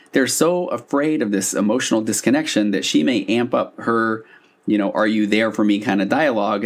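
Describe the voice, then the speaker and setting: A man talking informally and animatedly as a podcast host, explaining things like a couples therapist into a microphone. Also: fairly low pitch. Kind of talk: conversational solo podcast explainer. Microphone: podcast microphone on a stand.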